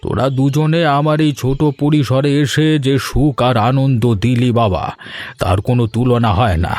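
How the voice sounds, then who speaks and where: A man voicing a humble, affectionate old village farmer in a Bengali animated story, speaking in a sentimental, fatherly way with a Bengali accent. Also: medium pitch, normal speed, close to an everyday voice. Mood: moved, grateful. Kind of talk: animated folk story dialogue. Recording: music bed under the voice.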